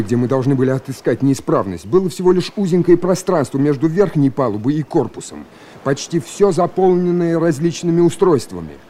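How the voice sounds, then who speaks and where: A brave young lieutenant in an old radio play, high-ish earnest voice, bit of background noise. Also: male voice, a little mellow. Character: earnest, brave young submarine lieutenant telling his story. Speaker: narrator and naval lieutenant. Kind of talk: Soviet radio drama narration and acting.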